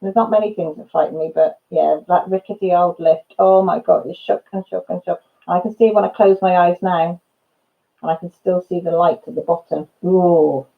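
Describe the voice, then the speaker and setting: A woman's voice: lowish voice, fairly even intonation, moderate pace, gravelly, narrow, tinny audio. A Welsh woman in her fifties, a channel host chatting casually with viewers, calling them by name and laughing through her stories. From Wales. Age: 50s. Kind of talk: casual live chat and storytelling.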